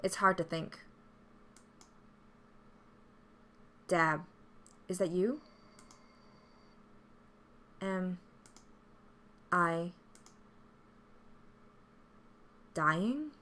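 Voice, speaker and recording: A woman's voice: lowish voice, lively intonation, extremely slow, long pauses, pushed and bright, full character voice. A woman playing a frightened, desperate young hero in a visual novel, narrating in an emotional, melodramatic way. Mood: weak, fading. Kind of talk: dramatic first-person visual novel narration.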